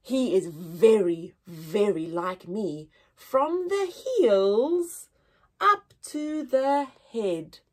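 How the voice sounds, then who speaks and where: A woman reading aloud to young children as a story-time teacher, expressive and sing-song with exaggerated wonder and a breathy voice. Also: medium pitch, drawn-out words.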